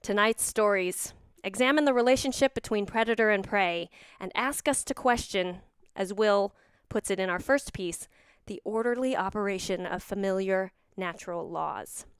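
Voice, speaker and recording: A woman's voice: mid-range voice, moderate pace, clean tone, dry recording. A woman hosting an audio drama show with a scripted theatrical introduction, delivered in a formal, dramatic way.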